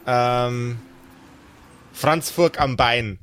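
A man's voice: mid-range voice, extremely slow, a bit bright, smooth, no echo, clean studio sound. A man narrating an improvised tabletop role-play as game master, in a casual, conversational style. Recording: music behind the voice.

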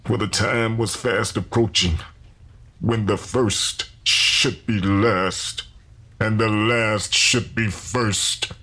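An actor playing a solemn, visionary enslaved preacher in his thirties, confessing deliberately in scriptural phrases with a gravelly, husky voice. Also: on the low side, steady tone, pushed and bright, exaggerated voice. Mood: fervent, prophetic. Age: 30s. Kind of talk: dramatized first-person confession.

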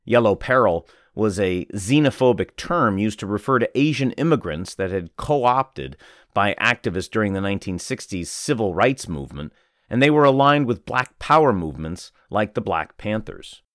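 A man narrating a magazine article aloud as a reader, in an even, measured scripted narration. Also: fairly low pitch, dry recording, studio quality.

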